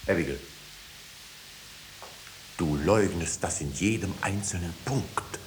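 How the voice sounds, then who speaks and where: An actor playing a coldly authoritative older judge, deliberate and imperious, in a 1950s German radio drama. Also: male voice, sits low, rough recording. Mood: grave. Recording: faintly hissy 1950s radio recording.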